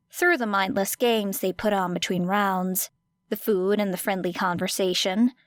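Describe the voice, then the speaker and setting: A woman reading an audiobook story dramatically, with deliberate, expressive phrasing and weighty pauses. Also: mid-range voice, dry recording. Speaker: audiobook narrator. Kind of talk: dramatic scripted fiction narration.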